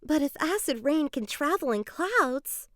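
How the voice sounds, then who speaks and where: A woman giving an expressive, explanatory dramatized reading of an educational children's story. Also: high-pitched, a little slow, no echo, clean studio sound. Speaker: children's book reader.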